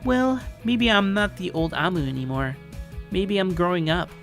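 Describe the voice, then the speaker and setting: A man's voice: high voice, moderate pace, a bit bright, faint music behind, voice pushed up. A man voicing a tall, confident tomboy girl who teases playfully in story dialogue. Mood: cheerful, assured. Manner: breezy, teasing.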